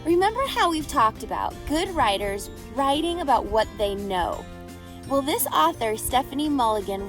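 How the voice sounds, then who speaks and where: Woman, somewhat high pitch, teacher read-aloud, expressive storybook phrasing, questions to young listeners, faint music. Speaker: teacher reading aloud to children. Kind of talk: picture book read-aloud with commentary.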